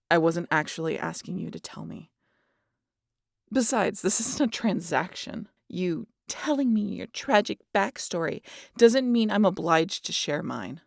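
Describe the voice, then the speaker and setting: A woman playing a sardonic, guarded vampire in an intimate audio roleplay, with a gravelly, husky voice and a teasing, exasperated manner that slips into breathy whispers. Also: fairly low pitch, darkish tone, no echo, studio quality, exaggerated voice. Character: sardonic, guarded female vampire hypnotizing an injured hunter.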